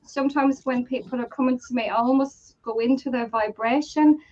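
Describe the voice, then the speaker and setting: A woman's voice: high voice, steady tone, a little mellow. Irish lady rambling on and laughing a lot, kinda muffled recording. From Ireland. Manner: chatty, rambling, quick to laugh. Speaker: guest medium. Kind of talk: casual conversational storytelling.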